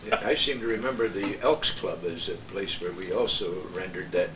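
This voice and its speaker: An older man telling anecdotes casually and haltingly, often searching for his words, as a panel guest. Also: low-pitched. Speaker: panel guest, veteran local broadcaster. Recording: echoey room, distant mic, clear noise.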